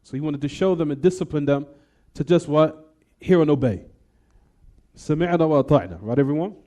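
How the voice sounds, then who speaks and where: A man's voice: a little high, brisk, mellow. A man teaching a class on hadith, explaining in English with recited Arabic and often quizzing the students with "what?" questions. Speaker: Islamic teacher.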